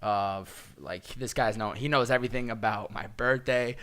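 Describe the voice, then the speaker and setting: A young man hosting a casual podcast, upbeat and quick to laugh. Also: mid-range voice, a bit bright, clean tone. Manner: upbeat, laughs often, reads listener email aloud. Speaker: podcast host.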